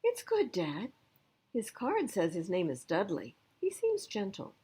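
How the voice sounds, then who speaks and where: A woman's voice: mid-range voice, huge pitch swings, unhurried, dark tone, breathy edge, exaggerated voice. A woman voicing an earnest, eager young boy in a storybook read-aloud. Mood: hopeful, admiring.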